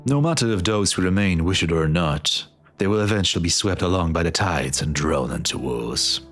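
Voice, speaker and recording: A weary, resolute fantasy game warlord played by a man speaks in a deep voice. He is grave and fatalistic, weighing each phrase deliberately over faint background music. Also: expressive, fairly bright tone. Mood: stern resolve. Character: grim, fatalistic male war leader, weary and resolute. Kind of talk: dramatic fantasy game dub acting.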